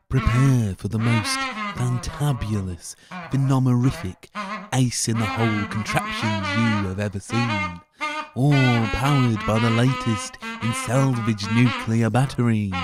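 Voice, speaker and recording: A performer plays a greasy spoof ad announcer who draws out every word. The delivery is slow and smooth, a mid-range salesman's pitch. Loud music plays under the whole read. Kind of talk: spoof advertisement.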